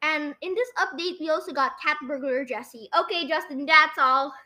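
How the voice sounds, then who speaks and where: A young podcast host with a high, bright, forceful voice. The delivery is casual quiz chat with a bossy, correcting edge, like a kid running the show. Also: normal speed.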